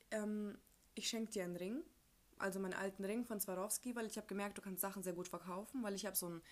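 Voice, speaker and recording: A woman's voice: medium pitch, a little mellow. A young woman from Ukraine hosting a casual personal podcast, speaking informally with youth slang and bits of English mixed in. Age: young adult. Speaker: podcast host.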